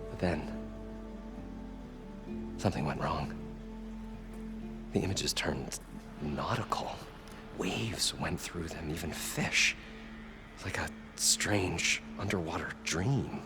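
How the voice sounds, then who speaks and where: A man's voice: low-pitched, very animated, takes it slowly, pauses often, heavily acted. An actor playing an eager inventor and showman, with dramatic, flowery storytelling in a video game. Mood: hushed awe. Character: eager, theatrical male inventor and showman chasing treasure. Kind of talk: video game cutscene acting. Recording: music behind the voice.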